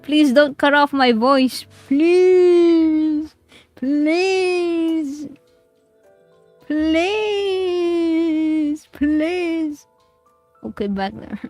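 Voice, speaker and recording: A woman with a squeaky voice, streaming a game and chatting with viewers in a giggly, rambling way. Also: drawn-out words, darkish tone, dry recording, faint music behind.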